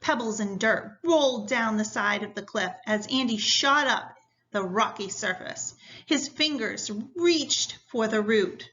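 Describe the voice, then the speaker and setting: Bright-voiced woman reading a story aloud. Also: fairly high pitch, unhurried, muffled recording. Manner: instructive, addressing pupils directly.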